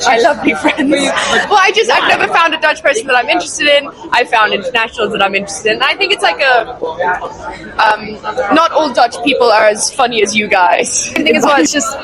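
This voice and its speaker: A woman's voice: sits high, expressive, fairly quick, rough recording. A young woman answering a street interview, chatty and laughing, often correcting herself. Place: outdoors on a busy city street. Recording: crowd noise outdoors. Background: crowd chatter.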